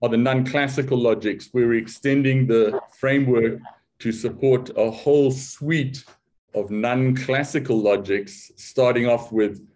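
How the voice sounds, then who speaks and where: Older South African male academic; relaxed, joking lecture voice. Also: medium pitch. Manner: wry, self-deprecating humor; loose, conversational delivery with restarts. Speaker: academic speaker. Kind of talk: conference talk.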